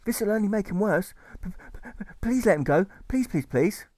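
A man's voice: high voice, expressive, moderate pace, darkish tone, no room sound, studio quality, pitched above the performer's own voice. A man voicing a timid, earnest boy who stammers and pleads, in a storybook reading. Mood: horrified pleading.

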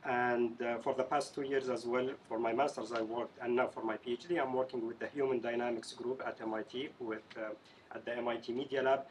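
A man's voice: medium pitch, flat delivery, fairly quick, slight background noise, poor audio. A young man, a PhD student, introducing himself at a seminar table with many hesitations. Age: young adult. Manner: earnest, many uh fillers. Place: conference room around a table.

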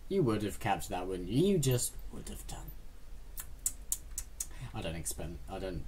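A man's voice: low voice, big pitch swings, moderate pace, dark tone, slight background noise. A British man hosting a gaming video with casual, rambling commentary, full of silly muttered asides and self-corrections.

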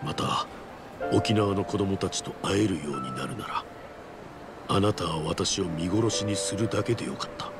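An actor playing a calculating agent of a shadowy organisation in a video game cutscene, formal, composed and drily polite. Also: male voice, low-pitched, moderate pace. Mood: probing, polite. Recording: loud music under the voice.

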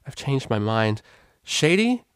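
A man's voice: medium pitch, big pitch swings, a little slow, darkish tone, no echo, studio quality, pitched above the performer's own voice. A man voicing a drunk, affectionate female unicorn DJ pony in a storybook reading, rambling and hesitant in informal speech. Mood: panicked.

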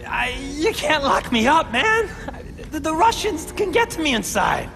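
An actor playing an excitable, scornful career-criminal supervillain with theatrical delivery. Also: male voice, very high-pitched, unhurried, gravelly, faint music behind, full character voice. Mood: frightened, defensive. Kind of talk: theatrical game villain acting.

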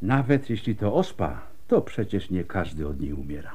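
An actor playing a blunt, world-weary, scarred plague doctor in a radio drama, gruff and emphatic, with bitter resignation. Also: male voice, low-pitched, big pitch swings, normal speed, warm tone, soft background music, full character voice. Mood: matter-of-fact, reassuring.

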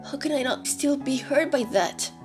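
A woman's voice: high voice, moderate pace, a little mellow, pitched above the performer's own voice, close to an everyday voice. A woman voicing a troubled, withdrawn human teenager in a dramatic comic dub, speaking in an earnest, pleading manner. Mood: hurt, angry. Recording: background music under the voice. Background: music.

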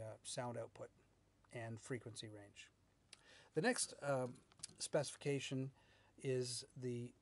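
Male, middle-aged, technical presenter. Mid-range voice, measured and a little halting, explaining to camera. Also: mid-range voice, a little mellow. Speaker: loudspeaker R&D manager, instructor. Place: small indoor video studio with whiteboard.

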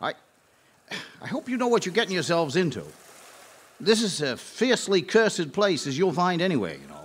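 Folksy caretaker, very animated pitch. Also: male voice, breathy edge, full character voice. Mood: wary warning. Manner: storytelling, halting when flustered. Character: folksy, gloomy rustic male caretaker of a haunted house. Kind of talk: live radio drama acting.

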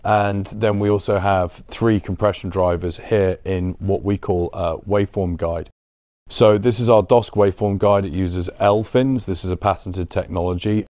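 A man in his thirties with a deep voice gives an informal, explanatory product tour. The sound is very dry, from an acoustically treated showroom. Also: steady tone, moderate pace, warm tone, dry recording. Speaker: business development director giving a showroom tour.

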